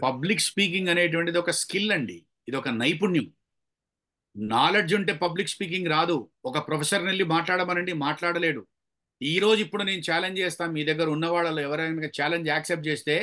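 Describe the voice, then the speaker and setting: The forceful, highish voice of a man at the front of a speaking workshop, pushing trainees with challenges and emphatic instruction. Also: quick pace. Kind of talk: interactive training lecture with examples.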